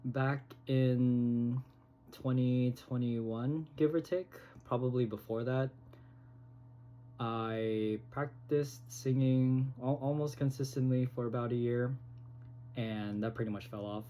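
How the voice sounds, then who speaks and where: A man talking to his phone camera in a casual vlog, halting and hesitant, with many pauses. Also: mid-range voice, steady tone, dull audio. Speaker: vlogger. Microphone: phone recording.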